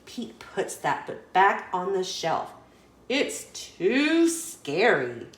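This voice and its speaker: Animated woman reading a children's storybook. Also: mid-range voice, very slow, reverberant. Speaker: children's story reader and host. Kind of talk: expressive read-aloud narration of a children's picture book.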